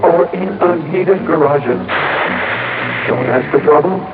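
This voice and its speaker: A man's voice: on the high side, slow pace. A man performing a slogan in the style of a vintage radio commercial jingle. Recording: muffled vintage radio, loud music.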